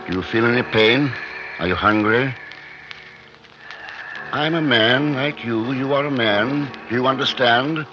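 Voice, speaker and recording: An actor in a 1950s radio drama playing an obsessed scientist, speaking in intense, halting bursts, awed and fearful of what he has made. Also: medium pitch, background noise, close to an everyday voice. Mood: awed, agitated. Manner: intense, halting, talking to himself in short bursts. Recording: dull 1950s radio recording.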